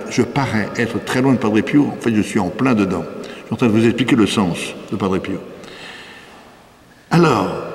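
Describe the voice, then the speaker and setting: A man's voice: mid-range voice, a little mellow. An older man with an accent from France, a priest giving a didactic, emphatic sermon into a stand microphone in a church. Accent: French from France. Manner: didactic, rhetorical questions, emphatic repetition. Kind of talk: spoken sermon, teaching a congregation. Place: inside a church, before an altar. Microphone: microphone on a stand, close to the mouth. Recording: echoey church with long reverb.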